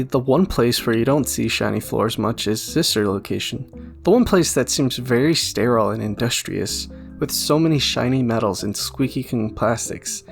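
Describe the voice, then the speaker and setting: A man's voice: medium pitch, vocal fry. A man giving casual fan theory commentary, rambling and jokey with a self-deprecating streak. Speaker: YouTube video essayist. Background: background music.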